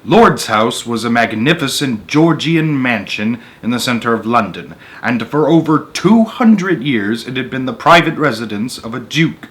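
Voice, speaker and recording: A man's voice: mid-range voice, a bit bright. A man reading a children's story aloud as narrator, stepping out for casual, conversational comments on the text. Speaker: narrator and host of a story-time show.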